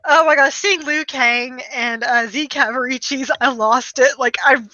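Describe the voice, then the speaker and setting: A woman in her thirties co-hosts a podcast in an easy conversational style. Her voice is high and bright, recorded dry with no room sound. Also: fairly even intonation, measured pace, dry recording, dull audio. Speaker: podcast co-host. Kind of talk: casual conversational chat.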